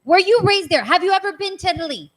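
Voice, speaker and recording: A young woman from Canada, co-hosting a casual talk show and teasing her co-host in an animated, argumentative way. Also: very high voice, fast talker. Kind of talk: casual chat.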